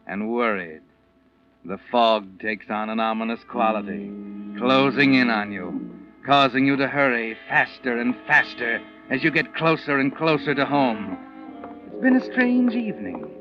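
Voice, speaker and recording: An actor playing an ominous, all-knowing mystery narrator in 1940s radio suspense style. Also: male voice, mid-range voice, lightly acted. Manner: ominous, addressing the character as "you". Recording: dull old 1940s radio recording, organ music. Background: dramatic music.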